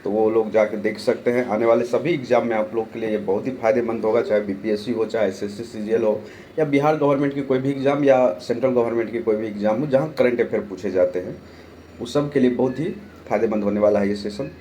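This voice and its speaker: A young Bihari man with a sore throat runs an online current-affairs lesson. His voice has a dark, mellow tone. He reads out each question, gives the answer, and repeats the names to remember, with faint noise behind him. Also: fairly low pitch, quick pace. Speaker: online exam-prep teacher.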